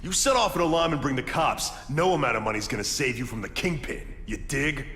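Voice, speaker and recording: A man's voice: a little high, animated, a little fast, sharp tone, slight background noise. A man acting a bossy, impatient gang henchman in a game, curt and slangy, in an echoing space. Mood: bossy irritation. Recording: echoey hall.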